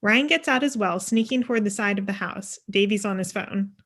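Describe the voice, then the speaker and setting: A woman's voice: medium pitch, brisk, a bit bright. A young woman reading scene headings and stage directions as scripted narration on a video call.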